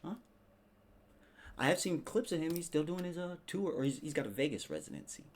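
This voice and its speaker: A man's voice: fairly high pitch, very animated, brisk. A man hosting a casual commentary show, talking in a slangy, sarcastic, profane way as he rants and mimics people. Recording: clearly noisy background.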